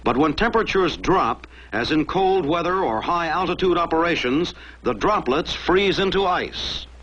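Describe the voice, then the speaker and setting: A man's voice: fairly high pitch, lively intonation, moderate pace, a bit bright, breathy edge. An American man narrating a mid-century military training film from a script in a dramatic, emphatic manner. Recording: dull lo-fi old film soundtrack.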